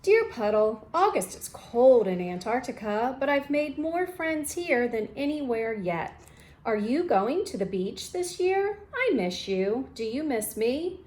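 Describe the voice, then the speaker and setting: A woman's voice: a little high, big pitch swings, measured pace, a little mellow. A woman voicing an eager, adventurous male storybook character, reading his letters to a friend expressively. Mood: affectionate.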